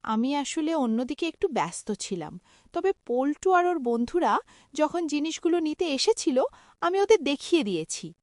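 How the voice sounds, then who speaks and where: A woman's voice: high voice, very animated, normal speed, a little mellow, dry recording. A woman voicing a busy, peacemaking village mother in a cartoon. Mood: explaining.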